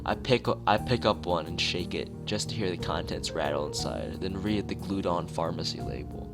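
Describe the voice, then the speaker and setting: A man's voice: low voice, animated, moderate pace. A man streaming a visual novel read-aloud, narrating with casual asides and sometimes stumbling over his words. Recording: music behind the voice.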